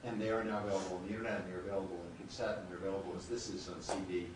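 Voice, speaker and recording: A man's voice: low voice, fairly even intonation, rapid-fire, distant mic. A man hosting a live event, making informal remarks to an audience. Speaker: event host. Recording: echoey room, off-mic, noisy background.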